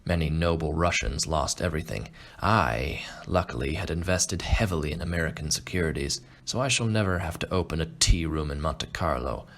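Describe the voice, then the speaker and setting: A man playing a refined, boastful aging Russian aristocrat and hunter in an audiobook, speaking in a measured, pompous way. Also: extremely low pitch, fairly bright tone, full character voice. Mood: boastful, urbane. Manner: pompous, measured, self-satisfied. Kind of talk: audiobook character acting.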